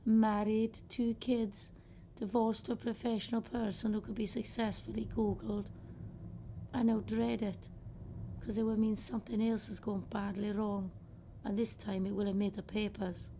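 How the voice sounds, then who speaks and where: An actress playing a disillusioned middle-aged social worker, wry and weary, in a radio play monologue. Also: female voice, mid-range voice, level tone, moderate pace, warm tone, a little hiss or hum, natural voice. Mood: weary, bitter.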